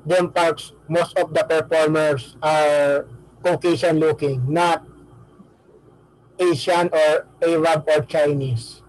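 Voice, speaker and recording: A man hosting an interview, asking questions slowly and deliberately and repeating his phrases. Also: on the high side, steady tone, a little mellow, poor audio. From Philippines. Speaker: podcast host.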